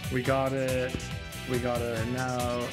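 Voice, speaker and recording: A man's voice: medium pitch, monotone, slow pace. A man livestreaming a game, chatting casually and thinking aloud in slangy, mildly sweary language. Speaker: livestreamer playing a visual novel. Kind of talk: casual livestream chat while troubleshooting. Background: music.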